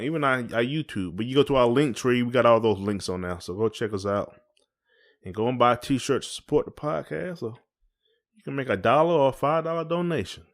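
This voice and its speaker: A man co-hosting a casual podcast, talking in a folksy, rambling way and repeating his phrases. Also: mid-range voice. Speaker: podcast co-host. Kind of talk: casual conversational podcast chat.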